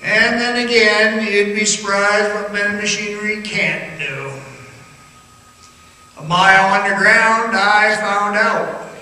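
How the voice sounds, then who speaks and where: Male actor as haunted ex-mine guide, radio drama monologue. High-pitched, forceful, folksy and ominous, recorded far off in an echoing hall. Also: steady tone, heavily acted. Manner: folksy, rambling, ominous, halting with pauses. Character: haunted middle-aged former copper-mine guide recounting a dark tale. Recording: roomy echoing hall, distant mic, faint noise.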